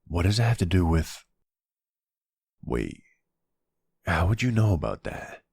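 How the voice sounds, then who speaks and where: A charming, protective mobster boyfriend, acted by a man for an ASMR roleplay. His voice is extremely low and husky, tender with a dangerous edge. He takes his time with every line, recorded dry and close. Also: big pitch swings, unhurried, darkish tone, no echo, heavily acted. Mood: cold, menacing. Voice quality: gravelly, husky.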